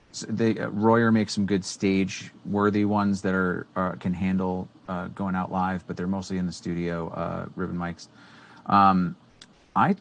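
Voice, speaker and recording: A man's voice: low voice, creaky. A man in his thirties, co-hosting a casual tech talk from a small studio, explaining gear with many fillers and restarts. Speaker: co-host. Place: small studio room with green screen. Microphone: studio microphone on boom arm.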